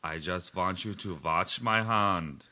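A man playing a calm hypnotist doctor in a dark comedy sketch, coaxing slowly and formally. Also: deep voice, heavily acted. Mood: soothing. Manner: slow, soothing, formal coaxing.

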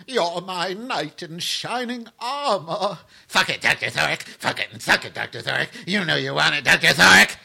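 A man playing a lewd, unhinged split personality in a dark comedy sketch, with florid, drawn-out theatrical delivery. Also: fairly high pitch, lively intonation, vocal fry, husky, full character voice. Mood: theatrical pleading.

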